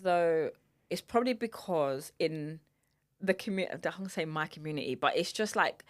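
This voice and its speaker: Candid London woman, interview guest, slightly low voice, relaxed and unhurried. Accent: London English. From East London. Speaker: guest, filmmaker and single mother. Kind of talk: casual conversational interview.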